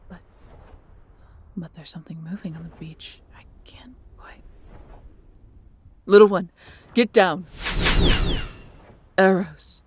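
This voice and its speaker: A woman playing a proud, tender female dragon in a fantasy audio roleplay, with a breathy, theatrical, measured delivery. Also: on the low side, fairly even intonation, no room sound, heavily acted. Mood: protective, watchful, then alarmed, defiant. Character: proud, doting female dragon, adventurous and protective.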